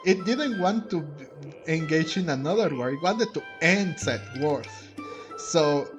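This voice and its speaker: A man's voice: on the high side, expressive, measured pace, music in the background. A man hosting a gaming video, giving casual, hesitant play-along commentary and often repeating himself.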